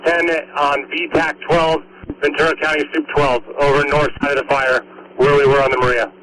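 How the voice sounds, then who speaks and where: A man's voice: a little high, level tone, moderate pace. A man passing clipped emergency radio traffic as a fire radio operator, over a two-way radio with clicks.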